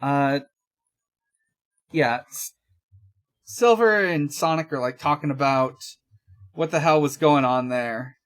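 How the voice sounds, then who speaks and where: Podcast host, slow pace, mid-range pitch, halting, low-key, casual recap chat.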